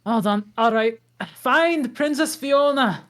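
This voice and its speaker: Woman doing a big grumpy ogre guy, yelling n pleading, high and slow. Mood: desperate. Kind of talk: live comedic table read.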